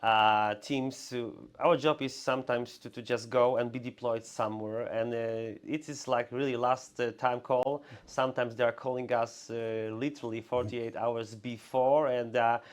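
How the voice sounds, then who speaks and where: A man hosting an interview, speaking haltingly with frequent fillers and restarts. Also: medium pitch, steady tone. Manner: hesitant, halting, full of "uh". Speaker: host. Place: clinic in Warsaw.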